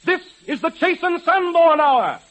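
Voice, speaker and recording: A man's voice: very high voice, animated, unhurried, muffled recording, over music. A man announcing in formal, declamatory 1930s radio style, introducing a sponsored show. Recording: crackly 1930s radio broadcast.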